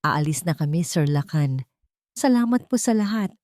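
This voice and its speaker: A woman's voice: deep voice, expressive, normal speed, a little mellow, no room sound, studio quality. A woman playing a tearful, self-blaming young mother in an audio drama, with a broken voice. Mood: despairing, tearful.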